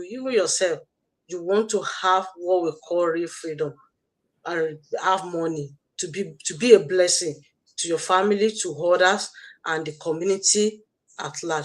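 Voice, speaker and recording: A woman with a Nigerian accent hosting a motivational livestream, exhorting listeners and repeating her phrases. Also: fairly low pitch. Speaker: host, online business mentor.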